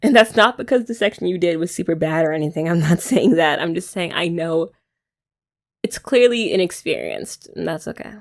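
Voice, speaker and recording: A young woman doing a soft, teasing, affectionate ASMR girlfriend roleplay, often laughing, close to the mic. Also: lowish voice, lively intonation, moderate pace.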